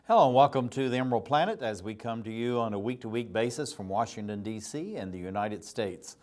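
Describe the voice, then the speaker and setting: A man's voice: medium pitch, lively intonation, brisk, darkish tone, slight background noise. A man hosting an interview show, asking long rambling and enthusiastic questions. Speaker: talk show host.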